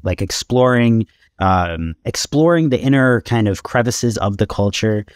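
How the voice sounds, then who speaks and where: A man in his thirties from Canada, a podcast guest, talking in a thoughtful, halting way with many fillers over a video call with headphones at home. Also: on the low side, animated, clear, resonant tone, no echo, studio quality. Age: 30s. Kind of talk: casual interview talk. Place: home room with shelves of CDs.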